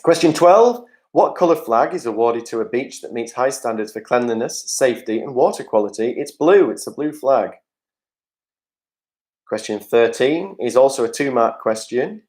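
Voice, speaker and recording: A man's voice: mid-range voice, lively intonation, moderate pace, darkish tone. A man hosting an online pub quiz, reading out answers with chatty jokes and asides to the players over a video call. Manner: jokey, chatty asides to players, reads questions aloud. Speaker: quiz host.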